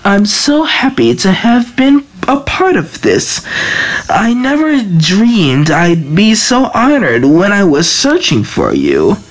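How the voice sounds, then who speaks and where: A woman's voice: medium pitch, wildly swooping pitch, slow, full character voice. A woman voicing a devoted, obsessive lab assistant to a villain, deferential and earnest, in an amateur comic dub.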